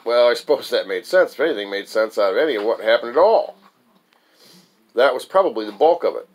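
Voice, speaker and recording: A man's voice: medium pitch, expressive, brisk. A man reading his own first-person story aloud as its author, in a recitation style with the occasional stumble over the text.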